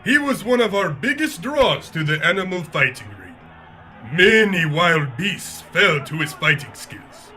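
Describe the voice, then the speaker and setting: A man's voice: sits high, sing-song, a little slow, sharp tone, faint music behind, exaggerated voice. A man playing a flamboyant, gloating underworld boss with a grandiose, theatrical manner in a comic audio drama. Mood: ominous relish.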